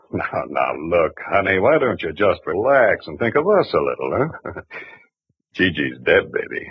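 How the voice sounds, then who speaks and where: A smooth ex-con robber. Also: male voice, deep voice, very animated, unhurried, heavily acted. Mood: cajoling. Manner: cajoling, condescending. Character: smooth-talking ex-convict bank robber. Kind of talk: 1940s radio acting. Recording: dull old radio broadcast.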